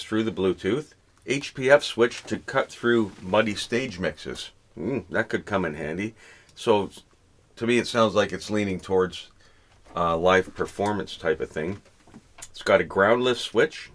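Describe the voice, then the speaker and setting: A man's voice: on the low side, measured pace. A man casually reviewing music gear, thinking aloud in a hesitant, unscripted way. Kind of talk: casual unboxing and gear demo. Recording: clearly noisy room recording.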